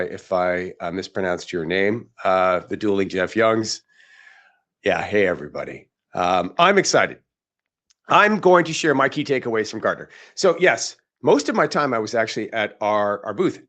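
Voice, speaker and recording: A man hosts a live podcast in a loose, chatty way. His voice is hoarse and raspy from days of talking, yet it bounces with lively swings in pitch. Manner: chatty, upbeat, greeting viewers. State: hoarse, tired voice. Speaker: podcast host.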